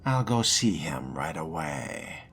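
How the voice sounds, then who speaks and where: A man voicing a wry, scholarly fledgling vampire in video game role-play, measured, theatrical and musing in delivery. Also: very deep voice, sing-song, a bit bright, slight background noise, heavily acted. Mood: deferential. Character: cultured, wry male fledgling vampire, scholarly and self-possessed. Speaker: role-playing gamer. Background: music.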